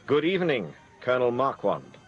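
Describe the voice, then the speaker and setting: An actor playing an urbane, wry amateur detective in a radio drama. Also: male voice, mid-range voice, huge pitch swings, moderate pace, muffled recording, soft background music. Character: suave amateur detective, dry wit.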